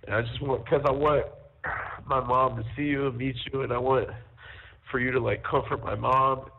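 A man in an intimate, casual phone call, talking haltingly and repeating his phrases, on a tinny jail phone line. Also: medium pitch, level tone, creaky. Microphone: telephone handset.

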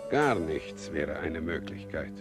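An actor playing a cool, calculating expedition member in a radio play. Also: male voice, rumbling low, animated, slow pace, heavily acted. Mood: cool, ironic. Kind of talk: radio drama acting. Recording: radio play with music underneath.

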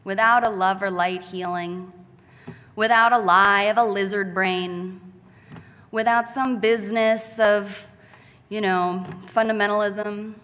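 A woman in her thirties speaks at a podium in a large church sanctuary, delivering a commencement speech in a slow, deliberate and heartfelt way. Her voice is bright and forward. The podium mic carries a long, lingering hall reverb. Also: mid-range voice, fairly even intonation. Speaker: graduating student speaker. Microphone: podium mic, far camera.

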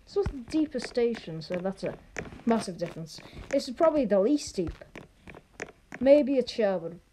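High, animated chatty game vlogger. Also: normal speed. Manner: rambling, chatty, opinionated. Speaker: video host. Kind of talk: casual gaming vlog commentary. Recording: clearly noisy home recording with clicks.

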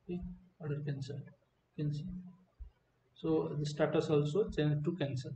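A man giving an unscripted screen-recording walkthrough as a software demonstrator, speaking in a halting, hesitant way. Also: on the high side, fairly even intonation. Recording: muffled, roomy, faint background noise.

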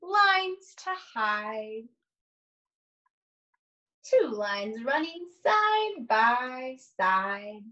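A woman's voice: a little high, sing-song, extremely slow, pauses often, pushed and bright, dull audio. A young adult woman, an art teacher, leading a read-aloud lesson for children with simple questions and prompts.

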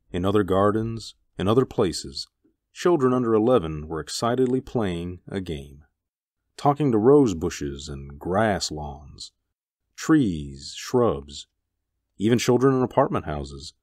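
A man with a low voice narrates a radio drama. His delivery is measured and literary with expressive pitch, and the sound is dry and close. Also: no room sound.

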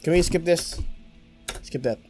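A man's voice: fairly high pitch, unhurried, darkish tone, soft background music. A young man with a Filipino accent, chatting casually as a gaming streamer, rambling with many restarts and stammers. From Philippines. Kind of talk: casual let's-play commentary. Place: small room at home, green screen. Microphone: headset.

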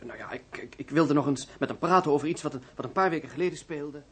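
An earnest, uneasy grown son in a radio play. His voice is husky and a bit high, that of a principled man under pressure. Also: fast talker. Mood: nervous, evasive. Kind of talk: Dutch radio drama acting.

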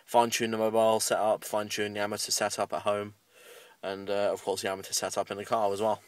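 British male hobbyist vlogger, lowish and very monotone, casual rambling update, slightly off-mic. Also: quick pace, far from the mic. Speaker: amateur radio hobbyist making a video update. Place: inside a parked car.

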